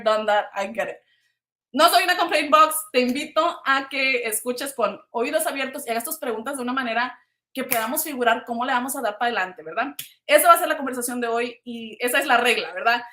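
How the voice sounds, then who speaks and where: A woman's voice: high-pitched, fairly quick, sharp tone. A Mexican woman hosting a casual livestream, chatting informally with viewers and switching between two languages. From Veracruz, Mexico. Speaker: livestream host. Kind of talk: casual live chat with viewers. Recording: roomy, echoing livestream sound.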